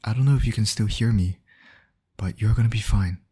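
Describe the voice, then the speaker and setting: A man's voice: lowish voice, brisk, dark tone, slightly rough. A man acting a caring field medic in an ASMR roleplay, speaking directly and reassuringly to an injured patient. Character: male field medic, calm, caring, urgent under pressure.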